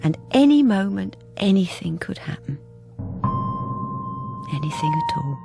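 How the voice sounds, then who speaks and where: A woman acting in a radio drama as a middle-aged English wife, wistful and quietly defiant, halting and often dropping to a whisper. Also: fairly low pitch, big pitch swings, creaky, over music, full character voice. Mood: dreamy, elated. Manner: halting, repeats phrases, often drops to a whisper. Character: weary long-married wife newly wistful and quietly defiant. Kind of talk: radio drama acting, intimate dialogue and narration.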